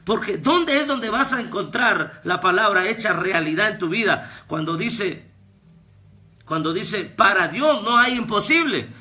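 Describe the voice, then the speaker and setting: A man, preaching a fervent devotional sermon as a pastor and prophet, with repetitions that rise to shouts. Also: high voice, lively intonation, measured pace. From Guatemala. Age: 30s.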